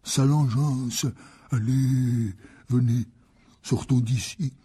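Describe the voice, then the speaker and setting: A man voicing a gruff, protective giant of a man in an audiobook, blunt and rough-spoken, with a husky, muffled voice. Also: mid-range voice, fairly even intonation, takes it slowly, mellow. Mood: gruff, consoling. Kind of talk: audiobook character voice.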